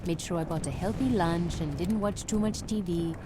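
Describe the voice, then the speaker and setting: A woman's voice: lowish voice, normal speed, background noise, lightly acted. An actress playing a plucky, anxious young woman on the run in an audio drama, urgent with wry asides. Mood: wry, reflective.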